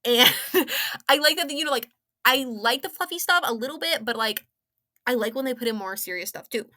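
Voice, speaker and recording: A young adult woman with a high, very bright and forward voice gives a casual, rambling review. She sounds opinionated and sarcastic, leaning on slang and playing up her emphasis. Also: a little fast. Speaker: video game story reviewer.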